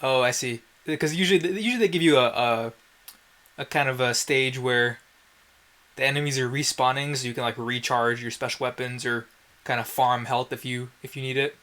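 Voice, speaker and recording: A man's voice: medium pitch, steady tone, moderate pace, pushed and bright. A man giving casual gameplay commentary in a wry, self-deprecating way, with some mild swearing. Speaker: let's play host.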